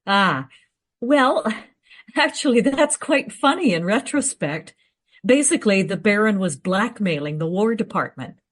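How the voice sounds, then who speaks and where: Radio play researcher, female actor: knowledgeable, frank, brisk, very expressive pitch swings. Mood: amused. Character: well-informed, matter-of-fact female history researcher.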